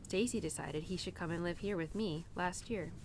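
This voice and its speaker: A woman's voice: lowish voice, normal speed, background noise, lightly acted. A young woman playing an earnest, rambling cowgirl who confides in her horse in an intimate roleplay audio, hesitant and giggly, with stammers and restarts. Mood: fond, wistful.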